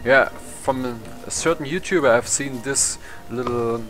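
Man, German accent, young adult, casual game commentary, middle-pitched voice, game music behind. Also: measured pace. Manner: rambling, swears, laughs at himself. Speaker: gameplay commentator. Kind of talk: casual let's play commentary.

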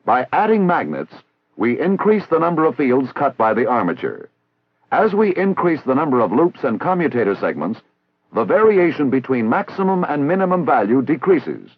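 American training film narrator, dull vintage soundtrack. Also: male voice, mid-range voice, very animated, husky, no echo. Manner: formal, measured, didactic. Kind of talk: scripted instructional narration. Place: recorded voice-over.

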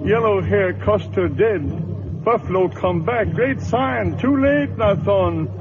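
An actor playing a sorrowful old Native chief in a radio drama, speaking in broken, clipped sentences and repeating words. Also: male voice, sits high, slow pace. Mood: sorrowful, resigned. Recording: muffled, noisy old radio broadcast.

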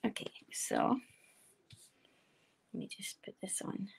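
A woman with a quiet voice, chatting and explaining each step as she demonstrates card making at her craft desk. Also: slow, whispered. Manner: chatty, explaining steps while working.

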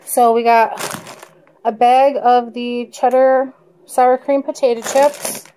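A young woman with a slightly high voice chats unhurriedly through her grocery haul. She talks eagerly about the food she likes, recorded on a handheld camera with noticeable noise behind her. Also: flat delivery, takes it slowly, mellow, rough recording. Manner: chatty, enthusiastic about food. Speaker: vlogger. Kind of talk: casual grocery haul vlog. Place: parked car, then kitchen.

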